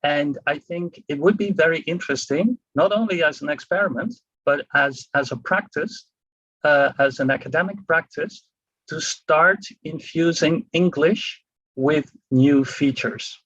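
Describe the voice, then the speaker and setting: A man with a Dutch accent speaks at a public book event, working through his points with frequent pauses and restarts. His voice sits fairly high and sounds husky. The recording is muffled and dry. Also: fairly bright tone, no echo. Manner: hesitant, many fillers and restarts. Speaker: panel speaker and author.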